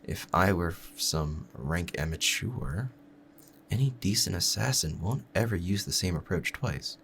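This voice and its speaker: Man voicing a low, gravelly, lovestruck assassin. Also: expressive, fairly bright tone, slight background noise, exaggerated voice. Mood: smug, amused. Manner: measured, formal, confiding. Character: smitten male assassin, calm, proud, menacing yet tender. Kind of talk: scripted romantic ASMR audio roleplay.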